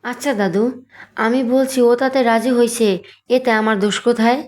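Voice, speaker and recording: A woman's voice: a little high, steady tone, moderate pace, darkish tone, natural voice. A woman voicing a bossy, scheming, argumentative young village girl in a cartoon. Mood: defensive. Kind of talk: cartoon voice acting.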